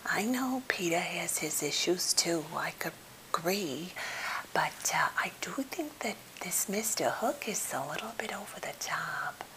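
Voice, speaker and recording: A woman acts out an anxious lady therapist who is a bit off but eager to help. She whispers breathily and takes her time, halting often and staying fussily polite. It is an ASMR role play, with a light hiss on the recording. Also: on the low side, expressive, pushed and bright. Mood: hesitant, polite. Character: anxious, well-meaning, slightly off woman therapist held captive. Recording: hissy, noisy home recording.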